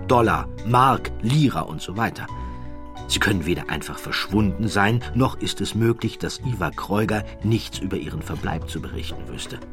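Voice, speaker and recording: A man giving scripted documentary narration in a measured, grave storytelling manner, dropping to a hush at tense moments. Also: low voice.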